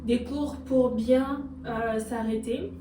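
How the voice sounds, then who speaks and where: Slangy upbeat woman vlogger, highish voice, roomy echo and music, kinda far from the mic. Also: fairly even intonation, slow pace. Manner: casual slangy, upbeat and motivational. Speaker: vlog host. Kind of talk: casual chat. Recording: echoey room, off-mic, music behind.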